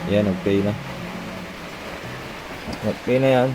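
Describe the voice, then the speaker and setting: A man's voice: on the low side, takes it slowly, noisy background. A man casually explaining a hands-on repair, informally tossing in short tag questions, like a tutorial vlogger.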